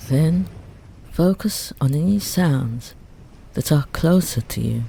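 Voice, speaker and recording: A meditation teacher gently guiding a Yoga Nidra relaxation, soothing and unhurried, with long pauses between phrases. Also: medium pitch, creaky. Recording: steady ambient noise under the voice. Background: ocean waves.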